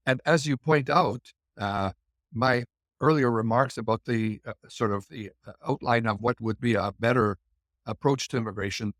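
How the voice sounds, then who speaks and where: A man's voice: mid-range voice, fairly bright tone, dry recording. A middle-aged Canadian man explaining points thoughtfully in a conversational discussion, with frequent fillers and restarts. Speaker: guest immigration commentator.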